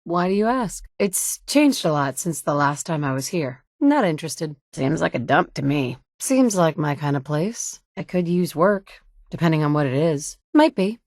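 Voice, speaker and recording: An actress voicing a determined, pragmatic survivor in a video game, speaking firmly and directly. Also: female voice, deep voice, expressive, normal speed, a little mellow, no room sound, clean studio sound. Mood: casual. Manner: firm and direct, shifting between stern and sympathetic. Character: determined adult woman, pragmatic wasteland survivor. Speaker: player character. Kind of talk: game dialogue voice acting.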